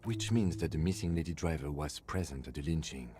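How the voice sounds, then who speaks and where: A man voicing a calm, reserved male police lieutenant in a video game, speaking in a measured, deliberate way. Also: rumbling low, pitched below the performer's own voice. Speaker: streamer reading a game character's lines.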